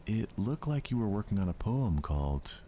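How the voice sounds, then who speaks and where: A man's voice: lowish voice, sing-song, moderate pace, warm tone, dull audio, slight background noise, pitched above the performer's own voice. A man voicing a sweet, affectionate, cheerful girl with a playful, coaxing manner. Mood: playful teasing.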